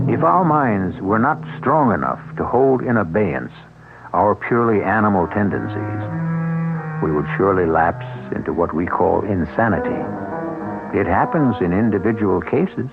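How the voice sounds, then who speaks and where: Grave deep-voiced male radio narrator. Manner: grave, measured. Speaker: show host and narrator. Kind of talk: scripted narration. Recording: tinny old radio broadcast.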